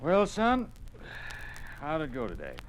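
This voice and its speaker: A man's voice: a little high, huge pitch swings, measured pace, soft background music. A man with an American accent playing a worried, principled father, grave and earnest, in a 1950s radio drama. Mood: casual, wary. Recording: noisy old 1950s radio recording.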